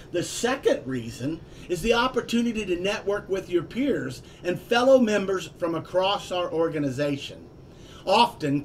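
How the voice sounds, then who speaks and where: An older man speaks in a high, lively voice with plenty of swing. He reads a promotional script with energy over a video call from his home office, a faint rumble underneath. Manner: enthusiastic, lively delivery. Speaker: club vice president.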